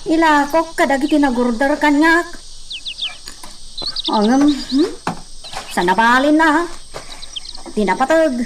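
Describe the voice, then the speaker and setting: A woman's voice: high-pitched, takes it slowly, long pauses, slight background noise. A woman acting a commanding, spirited hacienda woman in an Ilocano radio drama. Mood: weary, musing.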